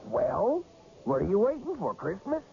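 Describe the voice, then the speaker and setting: An actor playing a folksy carnival sidekick in a radio drama, casual and slangy, wisecracking and placating. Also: male voice, sits high, huge pitch swings, moderate pace, vocal fry, mellow, heavily acted. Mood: needling. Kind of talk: 1980s radio drama acting. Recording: muffled, noisy old radio drama recording.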